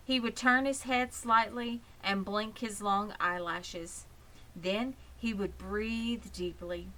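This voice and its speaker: A woman narrating a children's story in an expressive, measured way. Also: on the high side, low-quality recording. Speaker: audiobook reader.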